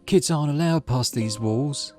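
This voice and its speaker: Casting: man as suspicious small boy for a visual novel, quiet and pouting, anxious, easily wounded. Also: a little high, moderate pace, soft background music, voice pushed up, lightly acted. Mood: quiet, wary.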